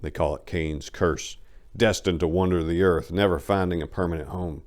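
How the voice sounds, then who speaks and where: A man's voice: sits low, steady tone, moderate pace, darkish tone. A man reading a first-person story as dramatic audiobook narration, in a folksy, colloquial storytelling manner.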